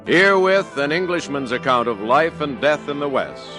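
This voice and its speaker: A male announcer introducing an old radio western in a grand, measured style. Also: on the high side, animated. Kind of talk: 1950s radio show announcing. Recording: old radio broadcast over loud music.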